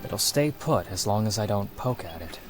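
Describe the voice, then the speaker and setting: An actor playing an earnest, reassuring young hero in a video game cutscene, informal and determined, with music behind him. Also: male voice, fairly low pitch, lively intonation, normal speed, lightly acted.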